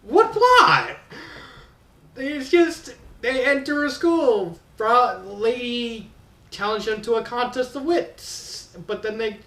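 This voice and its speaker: A man's voice: extremely high pitch, big pitch swings, slow, pushed and bright. A man giving a casual, rambling spoken review in an exasperated, emphatic way. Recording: roomy echo, distant from mic.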